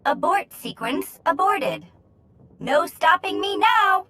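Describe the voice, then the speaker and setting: Gleeful high-pitched taunting machine voice. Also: female voice, sing-song, sharp tone, heavily acted. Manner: deliberate announcing with playful taunts. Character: gleeful taunting female machine voice counting down to detonation. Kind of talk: video game voice acting.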